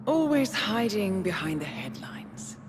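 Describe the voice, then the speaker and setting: Poised, expressive spy handler. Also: female voice, medium pitch, background noise. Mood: cold, taunting. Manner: measured, articulate, cool. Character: poised, calculating female intelligence handler, coolly persuasive. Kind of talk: video game cinematic acting. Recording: music under the voice.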